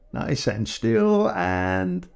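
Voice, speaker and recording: A courteous photographer, slow and lilting, lots of ums. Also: male voice, sits high, very animated, gravelly, darkish tone, clear, resonant tone, dry recording, exaggerated voice. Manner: courteous, hesitant with fillers. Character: polite, fussy male wedding photographer. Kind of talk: audio drama acting.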